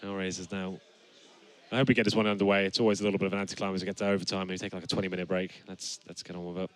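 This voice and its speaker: A young man casting an esports match from a studio on a headset mic, his voice still raspy from a flu. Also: low-pitched, very fast. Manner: rises to excited calls on big plays. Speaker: esports caster. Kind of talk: play-by-play commentary. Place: studio set with gaming stations.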